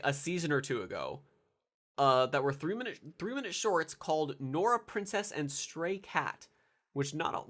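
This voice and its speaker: A man's voice: a little high, a bit bright. A congested young man with a nasal voice, rambling casually through anime commentary with lots of fillers and restarts. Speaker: anime video reviewer.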